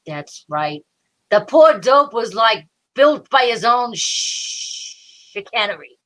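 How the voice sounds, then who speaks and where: Woman playing a bright, hard-boiled detective's pal. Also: a little high, very animated, slow pace, heavily acted, rough recording. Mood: wry, casual. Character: Mallard, tough casual detective pal of the heroine. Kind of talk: old-time radio drama acting.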